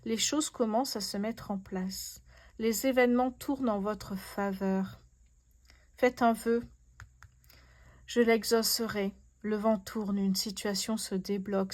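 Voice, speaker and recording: A woman's voice: mid-range voice, darkish tone. A woman hosting a casual tarot reading, speaking to her viewers in an effusive, awestruck way. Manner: effusive, awestruck, repeats herself in wonder. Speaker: host, tarot card reader.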